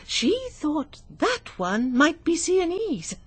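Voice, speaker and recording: Fluttery, genteel English spinster. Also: female voice, high-pitched, very animated, takes it slowly, full character voice. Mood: earnest, admiring. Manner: fluttery, effusive, prim. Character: nervous, genteel, chatty spinster lady's companion. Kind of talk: radio drama acting.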